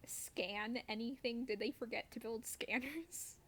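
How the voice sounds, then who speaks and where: A woman's voice: a little high, a little slow, a bit bright, slightly rough. A woman streamer chatting casually over gameplay in a playful, wryly self-deprecating way.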